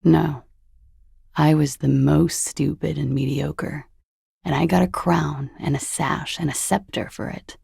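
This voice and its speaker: Young party-girl femme, video game dialogue, husky, very gravelly fry, dry understatement, cool and guarded. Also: low-pitched, steady tone, unhurried, a little mellow, no echo, heavily acted. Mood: dry, bored. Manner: languid, dry, sardonic understatement. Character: cool, evasive young former beauty queen and party girl. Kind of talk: video game dialogue, low-key and naturalistic.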